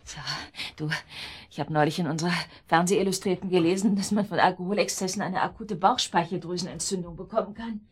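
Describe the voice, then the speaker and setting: An actress playing an outwardly caring, calculating wife in a German radio drama, speaking standard German. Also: female voice, fairly low pitch, fast talker, few pauses, husky. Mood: solicitous. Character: outwardly solicitous, calculating wife of ailing husband.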